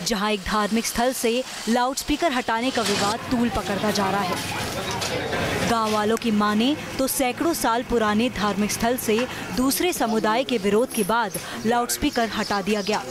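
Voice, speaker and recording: Female news narrator, slightly high voice. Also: normal speed. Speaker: news voice-over reporter.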